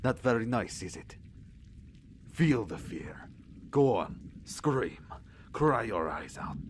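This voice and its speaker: A man playing a sadistic Russian ex-intelligence captain in an audio drama, taunting and menacing with theatrical, drawn-out lines. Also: mid-range voice, very animated, slight background noise. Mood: sadistic, gloating. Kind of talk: audio drama villain acting.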